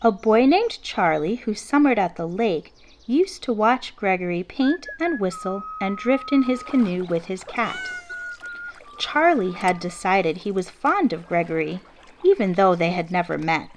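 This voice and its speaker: A woman reading a children's picture book aloud expressively, with measured, deliberate phrasing and emphasis on key words. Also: medium pitch, very animated, a little hiss or hum. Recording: classical symphony music playing behind. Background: orchestral classical music.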